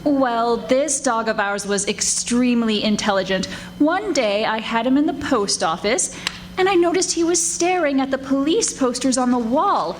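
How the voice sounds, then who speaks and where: A woman as high-voiced, haughty society lady. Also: expressive, moderate pace, lightly acted. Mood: smug. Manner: formal, genteel, superior. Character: prim, haughty society lady, politely one-upping. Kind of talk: 1940s radio comedy acting before a live audience. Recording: live stage recording with faint noise.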